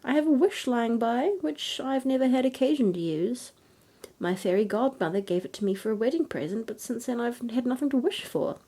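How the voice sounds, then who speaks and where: A woman's voice: fairly high pitch, expressive, a little fast, warm tone, husky, voice pushed up. A woman voicing a firm, protective storybook king with an emphatic, reasoning manner. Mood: reassuring. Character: firm, no-nonsense king, protective husband and father.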